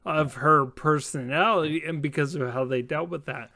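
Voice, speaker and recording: A middle-aged man with a notably high voice for a male speaker. He hosts a casual tabletop gaming discussion, laying out questions and anecdotes in a measured way. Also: pushed and bright. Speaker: host, game master. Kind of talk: casual roundtable chat.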